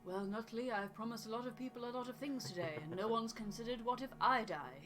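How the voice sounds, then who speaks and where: A woman's voice: on the high side, a little fast, music in the background, close to an everyday voice. Woman voicing a composed, wry expedition captain. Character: dry, dutiful expedition captain. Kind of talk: voiced reading of video game dialogue.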